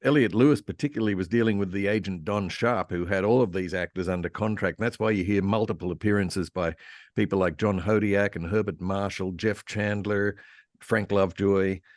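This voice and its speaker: Low-voiced man, halting raconteur. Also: steady tone, no room sound, clean studio sound. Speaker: guest radio historian. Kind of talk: animated, anecdotal panel discussion. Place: online convention video call.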